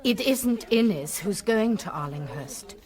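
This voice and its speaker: An actress playing an observant, self-deprecating woman who narrates a radio drama in a reflective, expressive way. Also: medium pitch, slow pace. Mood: subdued. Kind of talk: first-person radio drama narration and dialogue.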